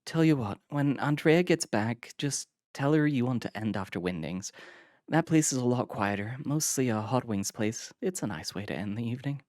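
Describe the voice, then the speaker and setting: A man voicing a nervous but kind young woman who speaks softly and hushed. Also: medium pitch, lively intonation, moderate pace, no echo, studio quality, pitched above the performer's own voice, close to an everyday voice. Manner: soft, hushed.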